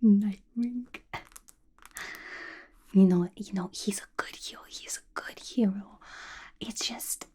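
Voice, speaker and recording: A woman voicing a scatterbrained, gossipy, clingy young female villain in a breathy, intimate ASMR whisper, chatty and playful with slangy grammar. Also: medium pitch, slow pace, long pauses, mellow. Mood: gossipy, teasing. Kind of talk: ASMR roleplay, whispered to the listener.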